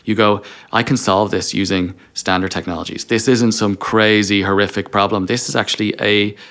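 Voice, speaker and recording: A man's voice: lowish voice, fairly even intonation, normal speed, fairly bright tone. A man talking as a podcast guest in a conversational interview, explaining things by posing questions and voicing inner dialogue. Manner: explains through self-posed questions and quoted inner dialogue. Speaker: podcast guest, engineering leader.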